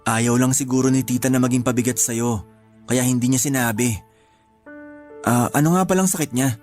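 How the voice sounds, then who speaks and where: Guy playing earnest filipino buddy, apologetic n consoling, mid-pitched, radio drama w soft music. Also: normal speed, natural voice. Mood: sympathetic. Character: apologetic, consoling male friend who sees spirits. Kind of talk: Tagalog radio drama acting.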